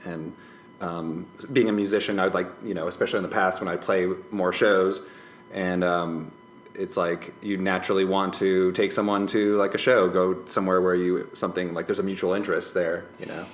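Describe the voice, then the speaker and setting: A man's voice: sits low, level tone, moderate pace. A man chatting casually as a podcast guest, thoughtful and tentative, rambling as he works out his answers. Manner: hesitant, tentative, rambling with hedged phrasing. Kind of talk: casual reflective chat. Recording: roomy echo, faint background noise.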